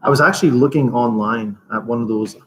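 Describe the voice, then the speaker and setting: A man's voice: medium pitch, quick pace, a bit bright, slight background noise. A man co-hosting a martial arts gym podcast, chatting casually and laughing often. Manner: laughs often, loose rambling talk. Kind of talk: casual chat.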